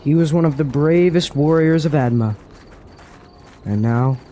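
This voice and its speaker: A sly, insinuating dreamer of a fantasy radio play, played by a man. His voice is a little high and slightly mellow, full of sardonic needling, over faint noise. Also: measured pace, lightly acted. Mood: musing. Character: sly, teasing dreamer who needles the warrior prince. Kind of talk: radio drama acting.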